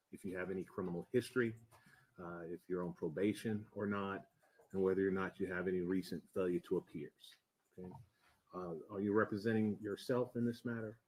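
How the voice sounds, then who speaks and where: A man presiding as a judge, explaining court procedure in a measured, plain-spoken and somewhat hesitant way. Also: low voice, darkish tone, breathy edge, rough recording. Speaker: judge presiding over a bond hearing.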